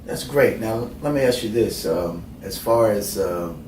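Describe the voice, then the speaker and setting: Man, host, very deep voice, formal, halting with pauses, distant mic, echoey room, faint hiss. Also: animated, darkish tone. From Bermuda, raised in New Jersey. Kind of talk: interview hosting.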